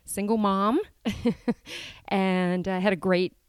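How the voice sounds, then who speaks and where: A New Zealand woman in her fifties, a podcast guest chatting casually about her life, hesitant with many pauses and easy laughs. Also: mid-range voice, steady tone, clear, resonant tone. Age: 50s. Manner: hesitant, lots of ums and restarts, laughs easily. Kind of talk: casual interview chat.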